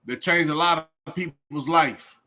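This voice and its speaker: A middle-aged man hosting a reaction video. His voice sits a little high with a bright, forward edge, and he rambles along in loose, slangy, colloquial commentary. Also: a little slow.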